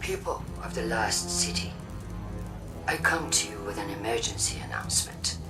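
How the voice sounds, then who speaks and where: An actress playing a calculating, alarmist female robot politician, giving a formal, deliberate announcement in a video game. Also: a bass voice, expressive, far from the mic, over loud music. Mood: grave, urgent. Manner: formal, deliberate public-address oratory.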